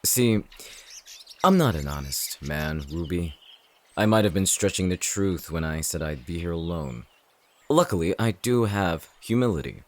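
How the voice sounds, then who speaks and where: A man playing a cocky, contemptuous villain in an audio drama, talking in a sneering, taunting way. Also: low voice. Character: cocky, contemptuous male shifter-hunting villain. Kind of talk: audio roleplay drama acting.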